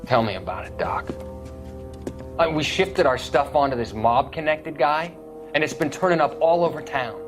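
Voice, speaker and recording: A man's voice: a little high, normal speed, music in the background, natural voice. An actor playing a troubled, guilty young ex-serviceman in a video game, earnest and deferential, with an American accent. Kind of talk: video game dramatic acting.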